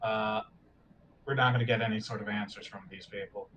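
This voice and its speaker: A man's voice: lowish voice, fairly even intonation, a little fast, fairly bright tone. A man talking in character as a player in a tabletop roleplaying game, wordy and cautious as he reasons things out. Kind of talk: casual in-character discussion. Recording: home recording with faint noise.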